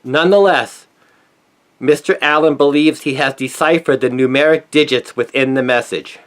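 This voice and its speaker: A man's voice: mid-range voice, moderate pace. A man narrates a news story, reading from a written script in a scripted style. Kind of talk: scripted narration, read aloud.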